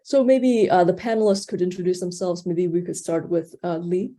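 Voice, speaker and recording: A woman's voice: on the low side, steady tone, moderate pace, mellow. A woman in her thirties moderating an online panel, speaking with many fillers and restarts.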